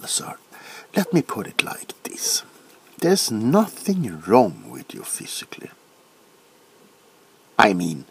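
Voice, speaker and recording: A soft-spoken man playing a nervous, eccentric doctor in a comedic ASMR roleplay, hesitant and repetitive, often dropping into whispers. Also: on the low side, creaky, husky, voice pushed down, heavily acted. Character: nervous, flustered, eccentric male doctor examining a monster. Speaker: ASMR performer. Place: small cluttered room at home. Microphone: close to the camera.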